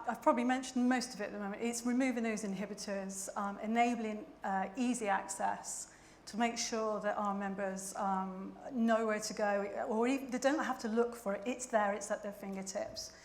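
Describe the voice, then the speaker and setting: A woman's voice: fairly high pitch, fairly even intonation, normal speed, echoey room. A woman speaking as a manager on a conference panel, hesitant with many fillers. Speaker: global vendor manager. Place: conference hall.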